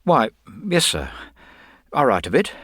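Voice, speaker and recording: Man playing a flustered young constable, pitched up. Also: huge pitch swings, measured pace, fairly bright tone, breathy edge, no room sound, studio quality, heavily acted. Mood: embarrassed. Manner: defensive, stammering, deferential. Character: flustered, earnest, uncomfortable young male police constable. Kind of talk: dramatised audiobook detective story.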